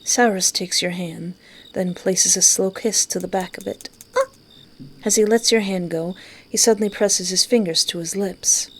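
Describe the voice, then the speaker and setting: A woman's voice: mid-range voice, steady tone, moderate pace, rough recording. A woman reading a visual novel aloud expressively, with playful, giggly reactions. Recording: music behind. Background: game music.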